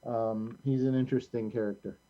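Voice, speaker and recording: A middle-aged American man hosting a casual show in a rambling, halting way. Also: medium pitch, fairly even intonation, highs cut off. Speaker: host of a community show.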